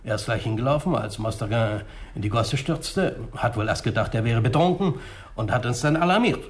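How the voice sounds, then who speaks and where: An actor playing a chatty, genial newspaper columnist in a 1960s radio crime drama. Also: male voice, mid-range voice, lively intonation, fairly quick, natural voice. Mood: talkative. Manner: garrulous, genial, chuckling between remarks. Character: jovial, chatty small-town newspaper columnist. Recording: faint hiss of old radio recording.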